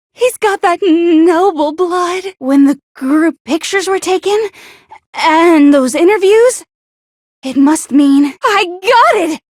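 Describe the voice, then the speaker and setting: A woman voicing an anxious, gloomy high school girl in an anime game dub, stammering and accusatory. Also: dry recording, clean studio sound. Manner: stammering, accusatory, easily flustered.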